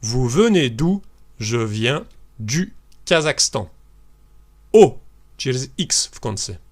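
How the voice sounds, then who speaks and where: French teacher guy from france, talks super slow, voice goes up and down a lot, spells out each example. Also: mid-range voice, sing-song. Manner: slow, deliberate model sentences for learners to repeat. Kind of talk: language lesson for beginners.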